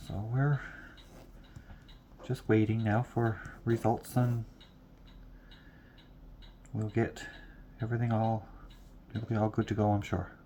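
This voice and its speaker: A man's voice: fairly low pitch, level tone, slow, pauses often, dark tone, a little hiss or hum. A congested man chatting casually and rambling, repeating himself, at home at night. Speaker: craft vlogger. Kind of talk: casual chat to camera. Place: home room at night, lamp on.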